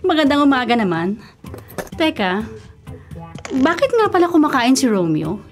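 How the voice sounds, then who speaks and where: An actress playing a composed, quietly calculating middle-aged mother who speaks with measured authority in a radio drama. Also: high voice, big pitch swings. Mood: composed, probing. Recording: music bed under the voice.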